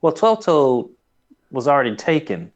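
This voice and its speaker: A man's voice: fairly high pitch, big pitch swings, unhurried. Dull-sounding recording of a rambling man chatting. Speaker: podcast host. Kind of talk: casual podcast chat.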